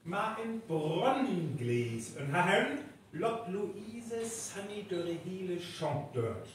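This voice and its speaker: Ein Mann spricht den Erzähler in einem live aufgeführten nordfriesischen Hörspiel. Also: male voice, high-pitched, very animated, slow, exaggerated voice. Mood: listing. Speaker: narrator. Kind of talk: live radio play narration in North Frisian. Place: live stage performance in a hall. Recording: echoey live hall, distant, faint noise.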